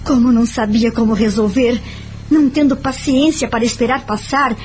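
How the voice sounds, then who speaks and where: An actress with a Brazilian accent playing a gentle, reflective woman friend in an audio drama, speaking earnestly and explaining. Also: a little high, steady tone, moderate pace, close to an everyday voice. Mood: grave, earnest. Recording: music behind the voice.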